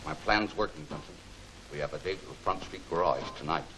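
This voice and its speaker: A crackly, clearly noisy old serial soundtrack carries an actor as a resolute gentleman crime-fighter. His voice is deep and fast. He gives clipped orders with secretive authority. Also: close to an everyday voice. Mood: brisk, resolute. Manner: clipped, commanding. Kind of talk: 1940s film serial acting.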